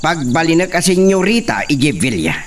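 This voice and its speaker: An actor playing a proud, confident young son of a rich landowner, recorded from a distance over faint insect noise. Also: male voice, a little high, big pitch swings, normal speed. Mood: persuasive.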